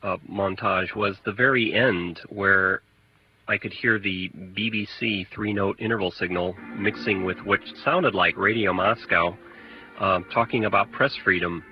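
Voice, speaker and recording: Lowish-voiced male radio interviewer, slightly muffled. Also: a little slow, soft background music. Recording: dull, slightly noisy radio recording.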